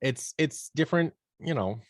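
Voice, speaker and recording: A man talking casually as an interview guest, explaining his ideas in a conversational, animated way. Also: fairly high pitch, normal speed, no echo, studio quality. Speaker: podcast guest, audio drama producer and performer.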